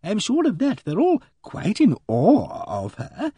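A timid elderly spinster lady, as a male reader puts her on, high and wildly swooping, with a soft, mellow, breathy tone. She talks in a prim, fluttering, gently reproachful way, recorded close and dry. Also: wildly swooping pitch, measured pace, no echo, full character voice. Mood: confiding. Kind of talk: audiobook character voice.

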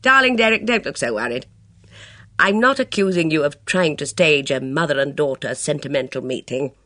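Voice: medium pitch, expressive, no echo. A reader voicing a bold, reckless middle-aged woman who speaks sharply and commandingly. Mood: imperious. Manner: brisk, imperious, sharp.

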